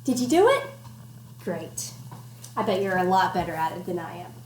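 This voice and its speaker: A woman's voice: on the low side, big pitch swings, moderate pace, a little mellow. A woman doing an interactive picture-book read-aloud for young children, playful and encouraging, putting simple questions to them. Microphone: computer microphone. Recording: roomy echo, noticeable background noise.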